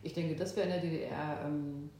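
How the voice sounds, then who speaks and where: A woman in her fifties from Berlin, a guest in an interview, who talks thoughtfully with pauses and fillers. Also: sits low, steady tone, mellow, a little hiss or hum. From Berlin, Germany. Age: 50s. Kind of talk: oral history interview. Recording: echoey room.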